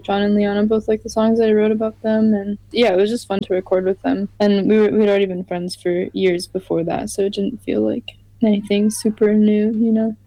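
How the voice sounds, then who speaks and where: A young woman musician answering interview questions in a relaxed way, with lots of "like" fillers. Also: medium pitch, no echo, poor audio. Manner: relaxed, filler-heavy with frequent "like". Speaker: musician guest.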